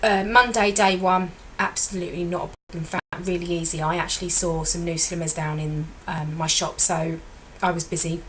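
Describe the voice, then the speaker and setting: Chatty woman with a croaky voice. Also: fairly low pitch, fairly even intonation, fairly quick, hardly any pauses, noisy background. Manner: chatty and rambling, self-correcting. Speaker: weight loss consultant. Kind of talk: casual vlog update.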